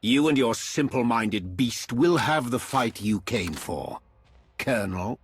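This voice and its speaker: An actor playing a smug, sardonic male traitor in a video game, husky, arch and condescending as he taunts. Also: mid-range voice, expressive, slow, a little hiss or hum. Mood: smug, mocking. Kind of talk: dramatic video game dialogue.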